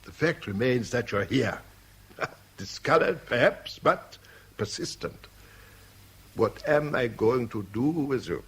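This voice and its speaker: A man's voice: mid-range voice, animated, slow, fairly bright tone, slightly rough, full character voice. An actor playing an urbane, wily older man in a radio drama, speaking in a suave, theatrical and patronising way. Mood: coolly amused.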